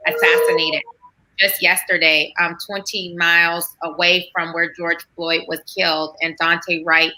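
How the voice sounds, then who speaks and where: An earnest woman talks as a guest over a video call, stopping often to gather her words. Her voice is bright and keen. She keeps it fairly low and even. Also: poor audio. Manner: earnest, halting with fillers. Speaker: guest psychiatrist. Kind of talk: emotional video call talk.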